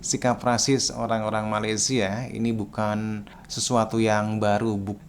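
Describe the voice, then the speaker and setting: Low-voiced man hosting a reaction video. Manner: measured, summarizing, addresses viewers as guys. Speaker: reaction channel host. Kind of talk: casual commentary to viewers.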